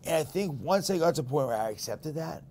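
Fast-talking Boston man. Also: on the high side, slight background noise. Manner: blunt, candid, animated storytelling. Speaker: podcast host. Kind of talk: casual podcast conversation.

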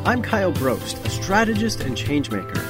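A man's voice: high voice, sing-song, moderate pace, over music. A man hosting a podcast, introducing his guest and asking her questions in an upbeat way. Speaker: podcast host. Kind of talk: podcast interview.

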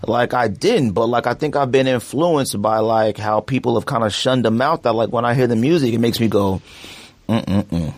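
A man hosting a sneaker podcast in casual chat, slangy and animated, tossing in "huh?" as he goes. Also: medium pitch, normal speed, no echo. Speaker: podcast host.

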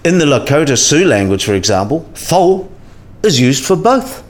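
An actor playing an eccentric, kindly old philosophy professor in a radio play, musing and didactic. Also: male voice, fairly high pitch, very animated, moderate pace. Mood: probing, didactic. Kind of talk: scripted radio play dialogue.

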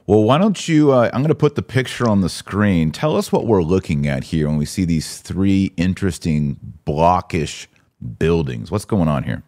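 Very deep-voiced man hosting a podcast. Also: very animated, moderate pace, darkish tone. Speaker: podcast host. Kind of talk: conversational religious commentary podcast.

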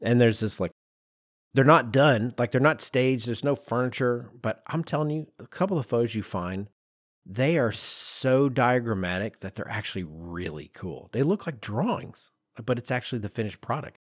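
A man hosting a podcast, explaining design history in an informal, conversational, animated storytelling way with acted-out asides. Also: medium pitch, moderate pace, no echo, clean studio sound. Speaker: podcast host.

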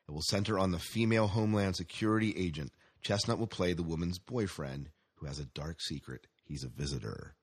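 A man's voice: deep voice, breathy edge, no echo, clean studio sound. A man hosting a casual news chat, speaking conversationally and often putting questions to his co-host. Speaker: podcast host reading entertainment news.

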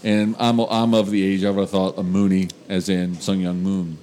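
A man's voice: sits low, flat delivery, moderate pace, a little mellow, slight background noise. A man co-hosting a podcast in casual chat, rambling with many uh's and restarts. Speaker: podcast co-host.

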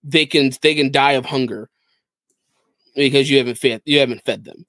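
A man with a mid-pitched voice talks casually in a dry, close recording. He explains things enthusiastically, in the easy conversational style of a gaming podcast host. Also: fairly even intonation, moderate pace, a bit bright, dry recording. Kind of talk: casual chat about video games.

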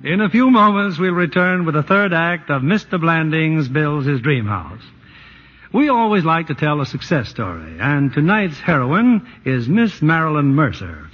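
A man's voice: a little high, husky. An American man hosting a scripted 1940s radio show in the presenting style of that era. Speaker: radio host. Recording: dull vintage 1940s radio broadcast.

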